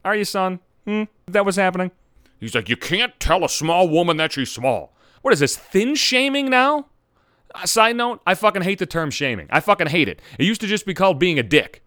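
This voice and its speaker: A man hosting his own podcast, ranting loudly with heavy swearing after just getting over a cold. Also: sits high, sing-song, moderate pace, no room sound, clean studio sound. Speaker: podcast host. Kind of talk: profane comedic rant.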